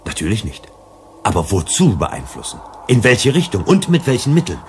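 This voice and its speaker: A man's voice: on the low side, wildly swooping pitch, normal speed, slight background noise. An actor playing a principled, cautious male psychologist in a sci-fi radio play, emphatic and lecturing. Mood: sardonic lecturing. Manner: emphatic, didactic.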